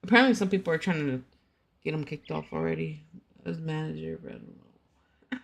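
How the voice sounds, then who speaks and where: A woman's voice: low voice, brisk, creaky. A young woman streamer who just woke up, groggy and tired, chatting casually with her viewers and laughing often. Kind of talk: casual chat.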